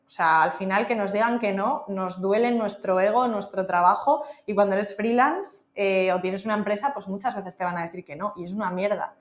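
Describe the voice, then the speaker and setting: A woman's voice: medium pitch, moderate pace, hardly any pauses. A woman in her thirties with a Castilian Spanish accent speaks openly and chattily, filling her talk with casual fillers. Her voice sits in a middling register. The recording has a noticeable roomy echo. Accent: Spain, Castilian Spanish. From Spain. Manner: candid, chatty, many fillers like "o sea" and "en plan". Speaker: podcast guest. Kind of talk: casual interview conversation.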